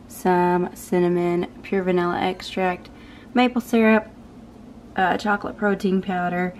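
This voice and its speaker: A woman's voice: mid-range voice, darkish tone, slight background noise. Chatty young woman cooking at home. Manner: chatty, upbeat, talks while cooking. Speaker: cooking vlogger. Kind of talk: casual cooking video. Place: home kitchen, indoors.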